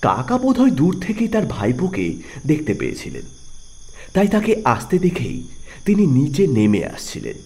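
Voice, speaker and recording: A man's voice: fairly high pitch, huge pitch swings, moderate pace, a little mellow, breathy edge. A man narrating a scripted audio story in a dramatic storytelling style.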